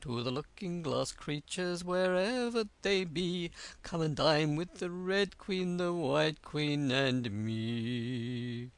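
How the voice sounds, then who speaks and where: A man voicing a shrill-voiced singer who chants verses slowly in a sing-song way. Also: dry recording.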